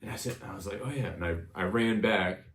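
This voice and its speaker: A man's voice: fairly low pitch, fast, a bit bright, reverberant. A man in his thirties from Connecticut with an American accent, casually telling personal stories in an informal, reminiscing way as an interview guest. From Connecticut, United States. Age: 30s. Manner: informal, reminiscing, frequent "you know".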